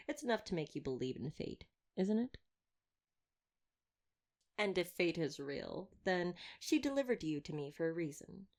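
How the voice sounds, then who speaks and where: Woman playing a low-voiced, wry vampire hunter. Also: a little mellow, close to an everyday voice. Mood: coaxing, wry. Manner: glib, flirtatious, sardonic banter. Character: wry, talkative female vampire hunter, teasing but caring. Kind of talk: scripted roleplay audio drama, intimate.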